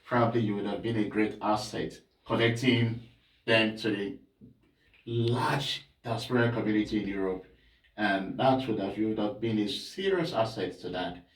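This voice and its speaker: A man with a fairly low voice hosts an interview and keeps encouraging his guest with quick acknowledgements. The sound is roomy, and the mic sits at a distance from him. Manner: encouraging, frequent short acknowledgements. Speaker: interview host. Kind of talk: casual interview.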